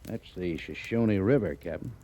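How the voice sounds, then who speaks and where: An actor playing a brisk, skeptical cavalry major in a 1950s radio western, with an officious, dismissive manner. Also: male voice, low-pitched, expressive, warm tone. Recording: old radio recording with background noise.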